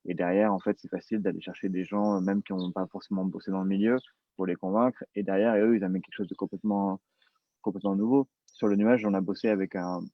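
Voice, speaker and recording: A man with a deep voice talks informally as a producer on a panel. He uses casual, slangy wording, and the sound is close and dry. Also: steady tone, fast talker, dry recording, highs cut off. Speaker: podcast producer, panel guest.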